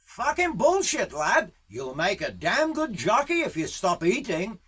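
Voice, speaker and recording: Man putting on a loud, high-pitched bush boss. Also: huge pitch swings, a little slow, husky, exaggerated voice. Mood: blunt, goading. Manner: loud, barking, swearing, blunt. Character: gruff, foul-mouthed Australian horse-riding boss, rough and goading.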